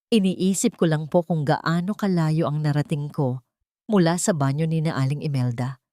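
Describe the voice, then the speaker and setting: A woman narrator voicing a gentle, reflective young heroine of an audio story, earnest, respectful and measured. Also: sits low, no room sound, studio quality, close to an everyday voice.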